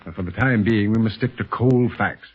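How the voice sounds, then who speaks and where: An actor playing a calm, protective secret agent mentoring a boy in a 1930s radio adventure serial. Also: fairly low pitch, moderate pace, husky, faint music behind. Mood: cautious, reasoning. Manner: calm, reassuring, authoritative. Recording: muffled 1930s radio recording.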